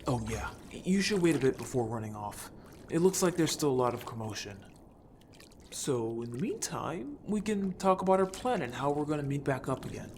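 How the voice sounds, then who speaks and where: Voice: mid-range voice, sing-song, moderate pace, a little mellow, slight background noise. An actor playing an upbeat, bold young merfolk scout in an audio story, chatty, casual and playfully defensive. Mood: casual, explaining. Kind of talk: audio story character acting.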